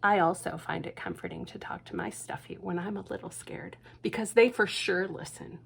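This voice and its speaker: A woman librarian reading a picture book aloud to children in a gentle, hushed manner, with whispered asides. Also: lowish voice, big pitch swings, normal speed, creaky, breathy edge. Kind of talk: storytime read-aloud for children.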